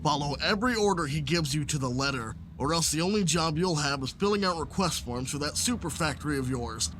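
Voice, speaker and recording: A man's voice: a little high, lively intonation, a little fast, lightly acted. A man playing a stern, irritable military captain in an audio drama. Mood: angry, stern. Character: stern, irritable male military captain briefing his troops. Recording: clearly noisy background with engine-like ambience.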